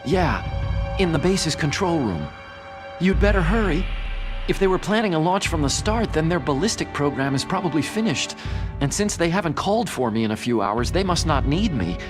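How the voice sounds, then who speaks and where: An actor with an American accent playing an earnest, nerdy young scientist in a video game, anxious, idealistic and plaintive. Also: male voice, a little high, sing-song, moderate pace, over music. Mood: urgent, determined. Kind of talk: dramatic video game voice acting.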